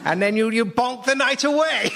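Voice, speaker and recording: An actor playing a louche, plummy old thespian who dithers cheerfully through confessions in a radio comedy sketch. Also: male voice, extremely high pitch, normal speed, creaky, pushed and bright, exaggerated voice. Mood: fond, sheepish. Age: older. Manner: plummy, dithering, chuckling euphemisms.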